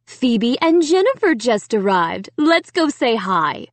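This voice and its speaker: A woman's voice: a little high, sing-song, takes it slowly, no room sound, exaggerated voice. A woman doing an impatient young mother. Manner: impatient, pushy.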